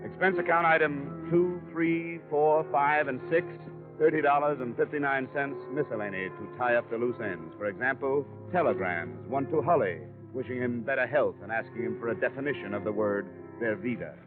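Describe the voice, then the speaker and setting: An American actor playing a wry, tough investigator with a deadpan, clipped delivery in 1950s radio drama. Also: male voice, medium pitch, moderate pace, hardly any pauses, lightly acted. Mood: brisk narrating. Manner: hard-boiled, deadpan, clipped. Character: wry, tough, dogged American insurance investigator telling his case. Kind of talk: 1950s radio detective acting and narration. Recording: muffled 1950 radio broadcast, music behind.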